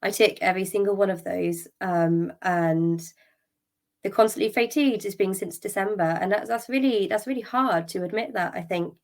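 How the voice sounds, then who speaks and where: A woman's voice: medium pitch, normal speed. Tired woman with a cold. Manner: open and candid, thinking aloud, with ums and restarts; tired and drained. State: has a cold, a little croaky. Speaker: podcast host. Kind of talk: solo podcast talk. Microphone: podcast microphone.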